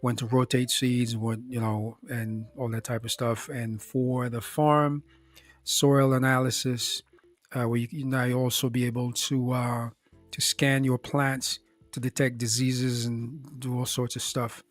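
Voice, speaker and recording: A slightly hoarse man casually running through his startup projects, speaking in a halting way with many fillers and restarts. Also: mid-range voice, level tone, no room sound. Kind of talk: casual spoken rundown of projects.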